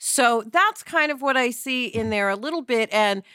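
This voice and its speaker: A woman hosts a podcast in a high-pitched, bright voice. She talks casually and with emphasis, often tagging on a question to check in. The recording is dry. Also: animated, moderate pace, smooth, dry recording. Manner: emphatic, conversational, asks "right?" often. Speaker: podcast host; television actress.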